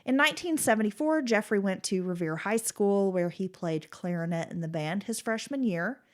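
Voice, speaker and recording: A woman's voice: mid-range voice, expressive, moderate pace. Stuffy-nosed woman podcast host. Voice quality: nasal. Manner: reads case details, then chats and laughs. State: stuffy nose from a cold. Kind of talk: casual true-crime podcast chat.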